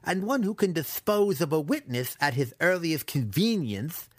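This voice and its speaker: A man voicing a sly, cruel young male dark elf apprentice in an audiobook, taunting as he schemes. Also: mid-range voice, sing-song, measured pace, no room sound, studio quality, pitched above the performer's own voice. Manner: taunting, matter-of-fact scheming. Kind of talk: audiobook character acting.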